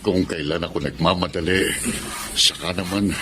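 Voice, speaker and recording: An actor playing an older parish priest, flustered and muttering impatiently in a radio drama. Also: male voice, sits low, fairly even intonation, normal speed, noisy background, natural voice. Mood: flustered, grumbling.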